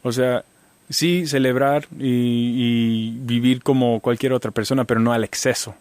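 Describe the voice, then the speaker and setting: A man giving legal advice as a guest on a radio talk show, speaking haltingly with many restarts and fillers. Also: mid-range voice, steady tone, darkish tone, dry recording. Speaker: immigration lawyer guest. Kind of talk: radio interview answers.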